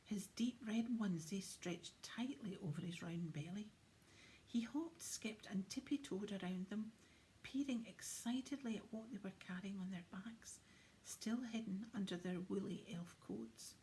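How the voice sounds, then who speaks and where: Woman, children's story narrator, medium pitch, dramatic hushed delivery, faint background noise. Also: measured pace, rough recording. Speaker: storybook reader.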